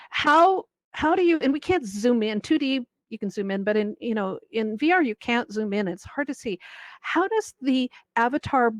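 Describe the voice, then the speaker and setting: A woman's voice: on the high side, no echo. A woman moderating a panel informally, in a wordy, rambling way. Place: virtual reality event, voice over personal microphone.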